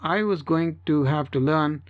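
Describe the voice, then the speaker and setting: Male story narrator, first person, fairly high voice, slightly dull recording. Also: unhurried. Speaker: audiobook narrator.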